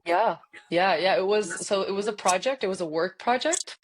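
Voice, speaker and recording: A woman's voice: medium pitch, moderate pace. A woman in her thirties, raised in Spain and the US, a guest in an interview, talking animatedly and laughing often. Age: 30s. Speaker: leadership coach guest. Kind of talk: casual interview.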